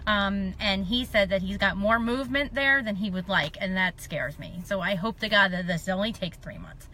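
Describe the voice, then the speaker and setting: A woman's voice: mid-range voice, moderate pace, pushed and bright, a little hiss or hum, low-quality recording. A middle-aged woman with a slight lisp, chatting casually and self-deprecatingly on a live stream. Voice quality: slight lisp from a dental appliance.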